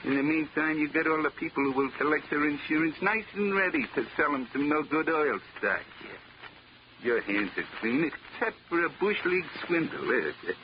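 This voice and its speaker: A man's voice: on the high side, lively intonation, moderate pace, natural voice. An actor playing a dim-witted, boastful gangster thug in a 1940s radio drama, talking in tough-guy slang and losing his train of thought. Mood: scheming. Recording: lo-fi noisy 1940s radio recording.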